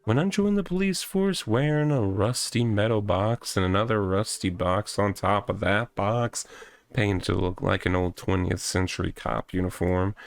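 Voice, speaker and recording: A man's voice: lowish voice, no room sound. A man voicing a pompous, delusional self-styled cop in a visual novel, with a theatrical, wordy, rambling delivery. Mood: confessing. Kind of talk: visual novel character reading.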